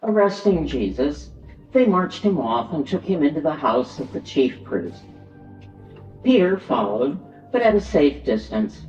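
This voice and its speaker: A man giving an expressive, dramatic narration of a Bible story. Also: a little high, unhurried. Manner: expressive, acts out quoted lines. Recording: distant, echoey church hall, dull sound, music.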